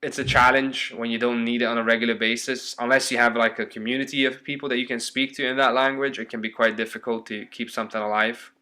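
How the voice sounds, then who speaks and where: A man's voice: mid-range voice, little pitch movement, quick pace, hardly any pauses, sharp tone. A man hosting a podcast interview, chatting casually and rambling with fillers. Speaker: podcast host.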